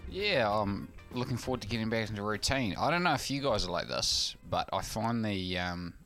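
A man's voice: fairly low pitch, lively intonation, normal speed, fairly bright tone, no room sound, soft background music. A man from New Zealand co-hosting a casual podcast chat, talking with many fillers and restarts. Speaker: podcast co-host.

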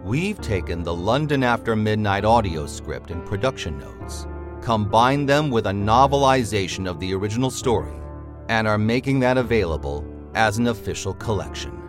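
A man's voice: lowish voice, expressive, moderate pace, over music. A man reading a scripted promotional announcement as the announcer.

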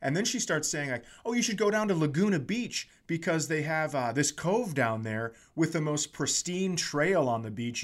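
A man hosting a comedy podcast, telling casual stories with profane, exaggerated ranting. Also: mid-range voice.

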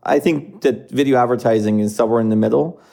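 A man in his thirties who grew up in Iran, answering interview questions in a measured way with frequent fillers. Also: medium pitch, creaky, mellow. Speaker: streaming company executive.

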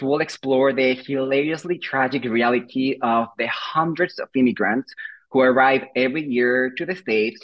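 A young man from Mexico with a Mexican accent, delivering a playful, rehearsed pitch of his show as a guest. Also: fairly bright tone. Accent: Mexican Spanish. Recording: dull lo-fi audio.

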